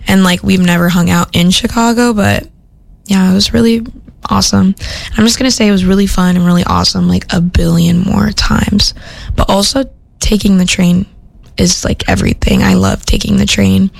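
A woman's voice: mid-range voice, fairly even intonation, normal speed, vocal fry. A young woman from Minnesota, a radio host chatting casually between songs in an upbeat, rambling way full of "like" and "um," recorded in a studio. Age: young adult.

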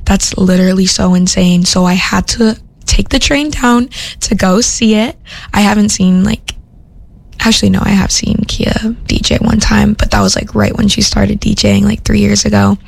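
Young woman radio host with heavy vocal fry. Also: medium pitch, fairly even intonation, normal speed. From Minnesota. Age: young adult. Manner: rambling, upbeat, heavy with "like" and "um". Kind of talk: casual radio chat between songs. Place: radio studio.

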